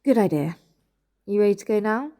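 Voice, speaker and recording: Actress doing a worried suspicious reporter woman, audio drama, soft mellow tone, kinda hesitant. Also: mid-range voice, expressive, brisk, natural voice. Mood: apologetic, tired. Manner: hesitant, questioning. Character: anxious, suspicious woman journalist, minister's sister.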